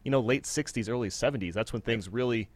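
Male, twenties, casual opinionated podcast talk, medium pitch, dry recording without room echo. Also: fast. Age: 20s.

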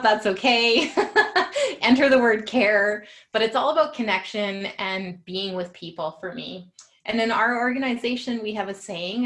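A young woman hosts a podcast in a loose, friendly way, laughing often and filling pauses with ums and uhs. Her pitch sits right in the middle for a woman. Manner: warm, laughs often, many fillers. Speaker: podcast host. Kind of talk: casual podcast interview.

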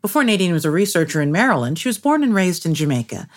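A woman's voice: fairly low pitch, big pitch swings, fast talker. A woman narrating a scripted documentary piece as its interviewer.

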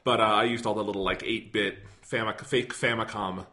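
A slightly hoarse man co-hosting a casual podcast, rambling and self-deprecating, with lots of fillers. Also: fairly low pitch, fairly even intonation, fairly quick, sharp tone. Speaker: podcast co-host.

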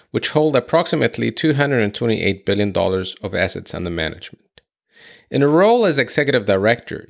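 A man's voice: medium pitch, creaky. A man hosting an interview podcast, often stopping and restarting his sentences. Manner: halting, with many restarts. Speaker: podcast host. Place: home studio in San Francisco.

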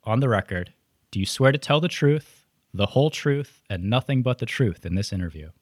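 A man's voice: medium pitch, a little fast, dry recording, studio quality. A man hosting a podcast, reading a scripted intro and then chatting playfully with his guest. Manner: animated, playful delivery. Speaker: podcast host.

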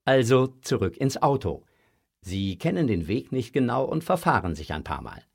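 A male narrator tells a scripted children's story. His voice is medium in pitch and husky, his intonation highly varied, with soft music underneath. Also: big pitch swings, moderate pace.

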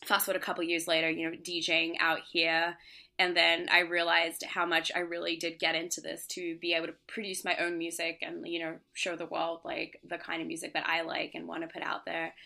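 A young woman, a DJ and producer from Australia and Singapore, chatting casually as an interview guest, relaxed with frequent laughs and fillers. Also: fairly low pitch, brisk, vocal fry.

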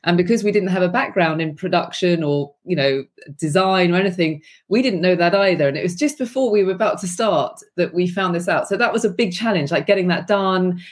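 Woman co-founder, low breathy voice, warm and quick to laugh. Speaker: small business co-founder. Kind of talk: interview answer.

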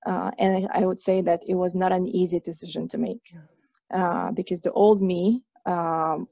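A woman's voice: lowish voice, flat delivery, normal speed, gravelly, warm tone, no room sound, highs cut off. A woman from Egypt in her thirties, a guest speaking hesitantly with many fillers and restarts. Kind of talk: conversational interview.

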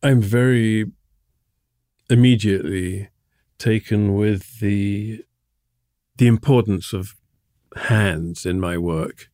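An older man from England talks through his memories in a slowish, halting manner, pausing and laughing softly as he searches for words. His voice sits a little low, and the recording is close and dry with no room sound. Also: no room sound. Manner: thoughtful, halting, laughs softly between thoughts. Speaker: artist being interviewed. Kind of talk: reflective conversational interview.

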